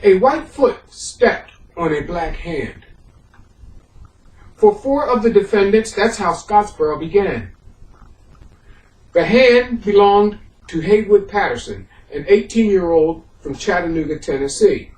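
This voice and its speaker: A man presents and reads a history book aloud in the role of a historian. His delivery moves between halting explanation and emphatic reading. He is recorded in a very echoey room, at a distance from the microphone. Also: high-pitched, lively intonation. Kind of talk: introduction and audiobook-style reading of a history book.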